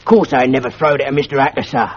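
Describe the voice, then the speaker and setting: A man's voice: mid-range voice, fairly even intonation, fast, husky, close to an everyday voice. A man voicing an ancient, grumbling male school porter who talks in broken dialect and keeps calling people "sir", on a lo-fi old recording. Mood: stubborn. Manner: ungrammatical dialect, deferential, keeps saying "sir".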